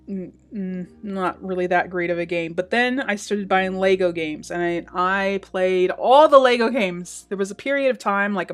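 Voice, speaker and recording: Chatty Northwest American woman, gaming vlog. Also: mid-range voice. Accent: Pacific Northwest American with slight Southern and Canadian hints. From Washington State, USA. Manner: chatty, laughs often, self-deprecating asides. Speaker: gaming YouTuber. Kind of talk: casual Q&A monologue.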